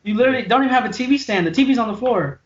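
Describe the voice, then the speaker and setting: A young adult man chatting casually as a podcast co-host from a room at home, animated and joking. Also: very high-pitched. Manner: animated, joking, talks in quick bursts. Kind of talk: casual chat. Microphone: video call webcam. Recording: dull lo-fi video call audio.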